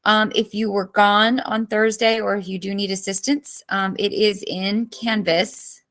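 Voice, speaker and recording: A woman's voice: medium pitch, level tone, a little slow, fairly bright tone. A woman in her thirties teaching a live online class, chatty and greeting students by name, with her voice cracking as she loses it.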